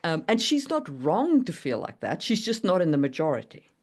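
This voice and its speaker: Animated woman with low, swooping voice. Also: normal speed. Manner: animated, opinionated, laughs readily. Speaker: podcast guest discussing writing. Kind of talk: casual chat.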